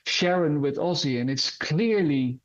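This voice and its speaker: A man's voice: a little high, unhurried. Earnest male musician, dull lo-fi sound. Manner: earnest, animated storyteller. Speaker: musician guest. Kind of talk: casual interview answers. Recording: dull lo-fi audio.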